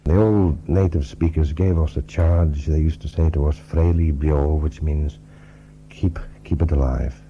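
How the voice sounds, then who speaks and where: A man in an old radio interview speaking slowly and earnestly, with pauses. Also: rumbling low, steady tone, mellow. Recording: dull old interview tape with hum.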